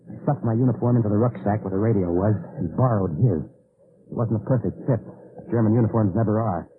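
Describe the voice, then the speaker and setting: Tinny radio-drama actor as a weary secret agent. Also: male voice, on the low side, fairly quick, slight background noise, natural voice. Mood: tense recollection. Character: weary, desperate male Allied agent behind enemy lines. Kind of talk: old-time radio drama acting and first-person narration. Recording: tinny old radio recording, background music.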